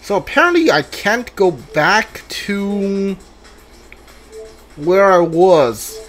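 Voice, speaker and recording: A video game player giving casual live playthrough commentary, thinking aloud in a halting way with mumbled asides. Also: medium pitch, sing-song, a bit bright. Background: video game music.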